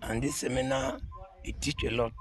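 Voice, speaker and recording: Mid-pitched man, plain interview answer. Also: steady tone, moderate pace, faint music behind. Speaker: seminar participant.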